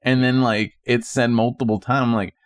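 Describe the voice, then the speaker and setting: A man co-hosting a podcast, chatting casually in an informal, halting way. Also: medium pitch, level tone, no echo, clean studio sound. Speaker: podcast co-host. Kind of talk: casual chat.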